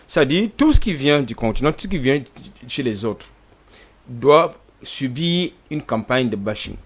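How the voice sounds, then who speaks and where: A man speaking as a panelist in a televised debate, measured, repeating words as he builds his point. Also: mid-range voice, expressive.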